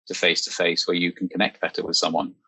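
A man hosts a podcast in an easy, conversational way, thinking aloud and then handing the floor to his guest. His voice sits very low and has a raspy, breathy grain. It still carries a bright, forceful edge. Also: monotone, fast, dry recording. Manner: thoughtful, asks open questions. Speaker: podcast host. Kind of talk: casual conversational discussion.

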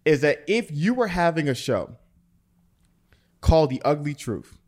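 A man's voice: a little high, big pitch swings, unhurried. Easygoing man hosting a chat. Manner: easygoing, rambling, laughs often. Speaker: podcast host. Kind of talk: casual chat.

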